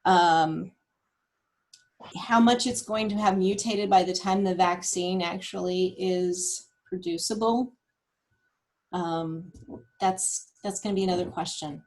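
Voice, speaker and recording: Woman, lowish and unhurried, informal explainer on a group call. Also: darkish tone. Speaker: nurse presenting health data.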